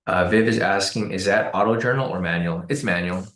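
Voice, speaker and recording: A man hosting a live trading stream, hoarse and raspy from the cold, talking casually with many fillers and repeated words. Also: sits low, fairly quick, a bit bright, roomy sound. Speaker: trading livestream host. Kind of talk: casual live-stream commentary answering chat.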